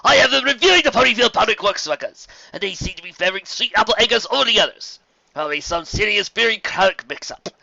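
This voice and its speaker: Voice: medium pitch, expressive, fast, vocal fry, pushed and bright, dry recording, voice pushed up, full character voice. A reader doing a courteous aristocratic stallion. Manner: courteous and gracious. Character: polite, well-meaning aristocratic stallion. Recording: dull lo-fi recording.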